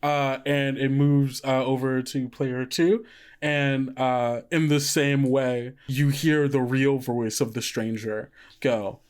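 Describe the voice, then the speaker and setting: Relaxed, hesitant tabletop game master. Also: medium pitch, slow pace, smooth. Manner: relaxed, hesitant narration with fillers.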